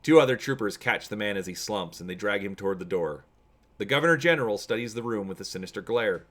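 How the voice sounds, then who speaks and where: A man's voice: lowish voice, animated, fast, a bit bright. A man hosting a tabletop gaming channel, chatting to viewers and reading an adventure story aloud as narrator. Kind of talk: casual video intro and dramatic reading of story narration.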